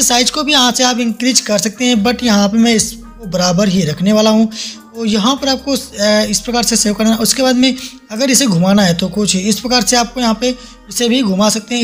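A man narrating a step-by-step app tutorial in repetitive instructional phrasing. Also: high-pitched. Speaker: tutorial host. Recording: faint music behind the voice. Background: soft background music.

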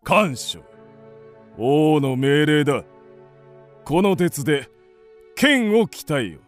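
A man playing a scheming, imperious ancient Chinese king, pompous and deliberate, in a radio drama. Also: fairly high pitch, big pitch swings, soft background music, exaggerated voice. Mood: commanding, flattering.

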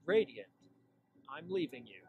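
A man's voice: medium pitch, big pitch swings, measured pace, lo-fi sound. A man voicing a haughty, callous unicorn stallion father in a cartoon drama. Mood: cold, dismissive. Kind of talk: cartoon fan-drama acting.

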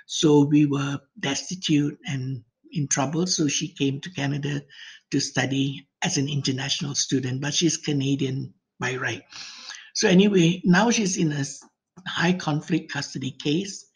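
A woman's voice: low voice, steady tone, husky. An older woman from Malaysia, leaving a worried, pleading voicemail. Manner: worried, pleading, rushed run-on telling. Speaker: voicemail caller. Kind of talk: phone voicemail. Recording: voicemail phone line.

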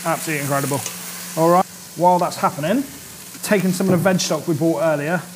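A man's voice: on the high side, steady tone, fast talker, a little hiss or hum, low-quality recording. A man in his thirties, a chef hosting a casual cooking demonstration in a studio kitchen, chatty and upbeat and often checking in with his viewers. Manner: chatty and upbeat, keeps checking in with "all right?". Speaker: chef and cooking show host. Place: indoor studio kitchen set. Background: sizzling and frying from the pan.

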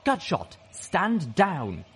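A man's voice: on the high side, huge pitch swings, drawn-out words, a bit bright, slightly rough, voice pushed up, full character voice. A man voicing a stern, urgent military pegasus officer who barks orders in a story reading. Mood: commanding. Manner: barking orders.

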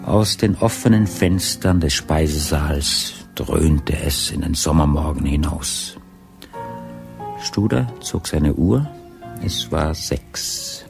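An actor playing a sober narrator of a radio crime story, measured and matter-of-fact. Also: male voice, extremely low pitch, creaky, full character voice.

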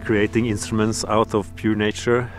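A Norwegian man, a musician, speaking English as a second language in a calm, reflective documentary interview. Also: lowish voice, moderate pace. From Norway. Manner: calm, reflective, slightly non-native phrasing. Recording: music playing behind.

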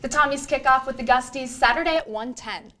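A woman's voice: sits high, brisk, background noise. A young woman hosting a student sports show in a small TV studio, reading a scripted intro and questions in an upbeat manner on a lapel mic. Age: young adult. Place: small TV studio set at a desk.

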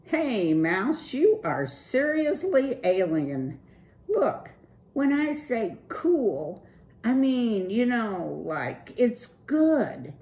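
A woman's voice: a little high, sing-song, drawn-out words, dark tone, voice pushed up, heavily acted. A woman voicing a cool, slang-talking young male city mouse with a laid-back, breezy drawl. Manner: laid-back surfer slang, keeps saying "dude".

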